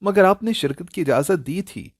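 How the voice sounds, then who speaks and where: A man's voice: sits high, animated, moderate pace, husky, no echo, studio quality, pitched above the performer's own voice. A man voicing a sheltered young daughter in an audio story, pleading and insistent with emotion. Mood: hurt, insistent.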